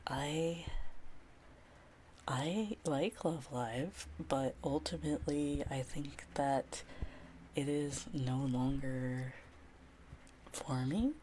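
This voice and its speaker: Soft whispery woman doing a chill asmr stream, slow and rambly, faint hiss behind. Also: extremely low pitch. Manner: rambling and self-deprecating, with many restarts and soft laughs. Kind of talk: soft-spoken ASMR chat, drifting into whispers. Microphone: close ASMR mic.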